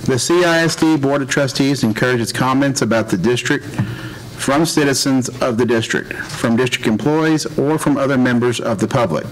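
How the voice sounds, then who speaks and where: A man's voice: medium pitch, moderate pace, a little hiss or hum, low-quality recording. A man presiding over a school board meeting, reading a formal statement aloud in a meeting room. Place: public meeting room, indoors.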